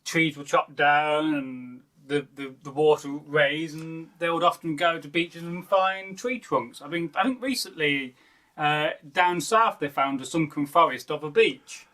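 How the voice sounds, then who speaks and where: A man's voice: fairly high pitch, measured pace, bright, forceful tone. A British man co-hosting a casual chat, chatty and often prompting with questions. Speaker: co-host.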